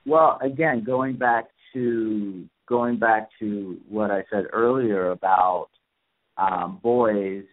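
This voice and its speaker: Male radio co-host, lowish voice, measured, tinny phone-like line. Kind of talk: casual call-in radio talk. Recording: narrow phone-like sound.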